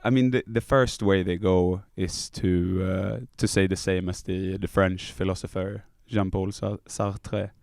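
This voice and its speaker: Low-voiced Swedish man, joking guest. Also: fairly even intonation, measured pace, vocal fry, hardly any pauses, a little mellow, no echo, clean studio sound. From Sweden. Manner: joking, laughs often. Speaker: musician, podcast guest. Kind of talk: casual studio interview. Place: podcast studio.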